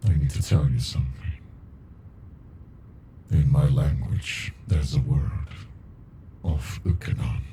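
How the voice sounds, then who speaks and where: A man voicing an ancient captive alien monster in an ASMR roleplay, deep and gravelly, brooding, intimate and possessively smitten with a human. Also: fairly even intonation, dark tone, a little hiss or hum. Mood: confessional, tender. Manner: slow, brooding, deliberate, intimate.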